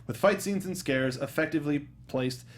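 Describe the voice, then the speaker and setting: A man hosting a casual panel discussion, speaking in a halting, self-interrupting way. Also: on the high side. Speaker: host of a film review panel show.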